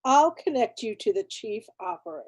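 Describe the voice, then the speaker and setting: A woman's voice: fairly high pitch, expressive, brisk, a little mellow, slightly rough, dry recording. A woman playing a polite, unflappable telephone operator in a radio play, speaking in a formal, routine manner. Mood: polite, impassive.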